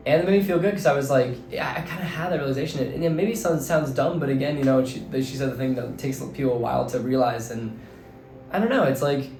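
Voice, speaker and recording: A young man in his twenties from New Jersey, his voice slightly hoarse, talking through his thoughts in a casual, rambling way full of fillers. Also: mid-range voice, fast talker, darkish tone. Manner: rambling, filler-heavy, earnest. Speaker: vlogger and teacher. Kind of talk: casual reflective monologue. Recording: roomy echo, faint background music.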